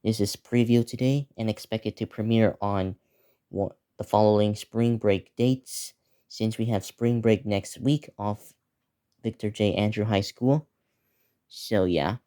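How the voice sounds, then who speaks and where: A teenage male video creator giving a casual, unscripted preview, talking in a halting, repetitive way as he lists things. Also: lowish voice, fairly even intonation, dark tone. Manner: halting, repetitive, listing place names.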